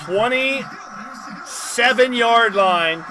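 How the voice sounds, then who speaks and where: High-pitched man calling live sports, noisy recording. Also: very slow. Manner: conversational, excitable on big plays. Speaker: livestream host and play-by-play commentator. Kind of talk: live sports play-by-play mixed with casual chat to viewers.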